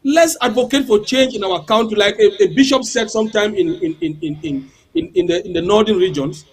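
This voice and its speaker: A man's voice: sits high, lively intonation, brisk. A man, not a native English speaker, arguing heatedly as a panel guest in a political debate, firing off rhetorical questions and repeating words.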